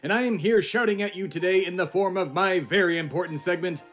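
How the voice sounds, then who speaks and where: A man's voice: high voice, brisk, faint music behind. A man playing a bombastic, self-important immortal embodiment of America in a comedy podcast monologue. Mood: shouting, emphatic. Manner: pompous, shouting announcer bursts, rambling asides.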